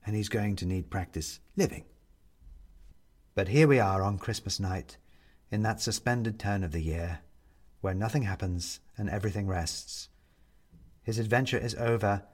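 Expressive male storyteller, widely swooping pitch. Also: husky. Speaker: audiobook narrator.

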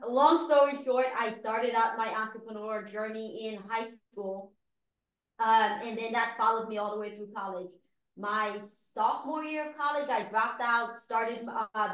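A woman's voice: medium pitch, fairly bright tone, roomy sound, poor audio. A woman from Guyana, a guest telling her story in candid casual chat, full of "like" fillers. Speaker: guest entrepreneur.

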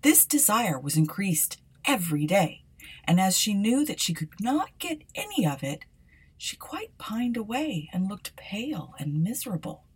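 A woman reading an old fairy tale aloud for a podcast, often dropping to a hushed whisper. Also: mid-range voice, big pitch swings, a little slow, slightly rough. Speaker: podcast storyteller.

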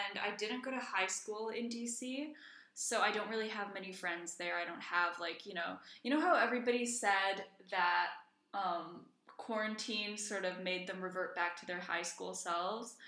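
A woman's voice: mid-range voice, normal speed, sharp tone. A young adult woman from Iceland chatting casually and emphatically as a podcast co-host. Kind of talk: casual chat. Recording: roomy, echoey sound.

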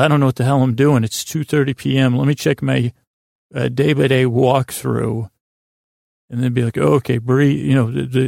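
Creaky, meandering male bedtime podcaster. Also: mid-range voice, darkish tone, no room sound, studio quality. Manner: meandering, halting, stammering and repeating words. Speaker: podcast host. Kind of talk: rambling, improvised bedtime-story monologue.

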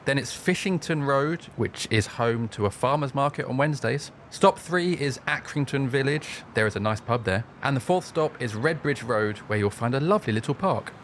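A man's voice: mid-range voice, expressive, moderate pace, hardly any pauses, a bit bright, slight background noise, natural voice. A man playing a helpful bus driver in an audio sketch, giving information in a matter-of-fact way. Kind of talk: acted quiz audio sketch.

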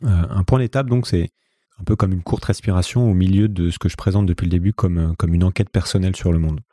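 A man of about forty with a Parisian French accent hosts a solo podcast in a very deep, husky voice. He talks conversationally, thinking things through with a modest, reflective air. The recording is close and dry. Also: fairly even intonation, fast, mellow, no echo. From France, Paris region. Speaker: podcast host.

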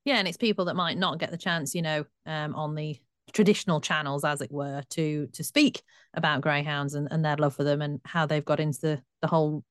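A woman, co-hosting a podcast in a brisk, upbeat, chatty way. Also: low voice, fairly even intonation, no echo, studio quality. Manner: brisk and upbeat, presenting the show. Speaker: co-host. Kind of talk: casual podcast chat.

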